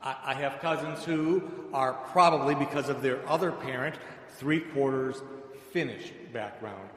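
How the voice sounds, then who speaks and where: Male American preacher, middle-aged, conversational and wry, mid-pitched, recorded in a reverberant hall. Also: unhurried, a bit bright. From suburbs of Chicago, United States. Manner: conversational, dryly humorous. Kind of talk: sermon with personal anecdotes. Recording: echoey hall, long reverb, background noise.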